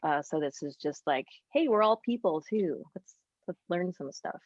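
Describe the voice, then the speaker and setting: A woman's voice: fairly low pitch, expressive, moderate pace. A woman co-hosting a podcast in casual, conversational panel chat, recorded with roomy, slightly muffled sound. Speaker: podcast co-host. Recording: roomy, slightly dull lo-fi audio.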